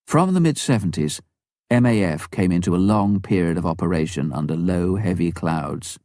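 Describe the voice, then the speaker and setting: A man narrating a memoir from a script, reading in a measured, deliberate way with pauses. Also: low voice, expressive, a little mellow, dry recording, studio quality. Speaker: audiobook narrator.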